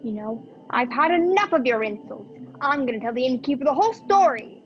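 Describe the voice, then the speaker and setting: A young woman acting a sharp-tongued, indignant captive companion in an amateur radio play. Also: high voice, animated, a little fast. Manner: tart, sarcastic. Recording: dull lo-fi video call audio. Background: faint music.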